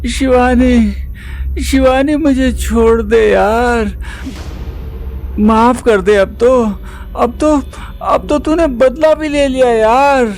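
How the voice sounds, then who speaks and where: A man's voice: very high-pitched, steady tone, slow, darkish tone, slight background noise, heavily acted. An actor playing a bound, battered young man in an audio drama, weak and desperately pleading for his life.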